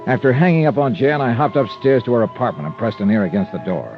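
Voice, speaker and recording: An American actor playing a tough, wry insurance investigator in 1950s radio drama, clipped and sardonic. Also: male voice, medium pitch, animated, fast, lightly acted. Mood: brisk narrating. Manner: hard-boiled, clipped, sardonic. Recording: dull old radio recording with music.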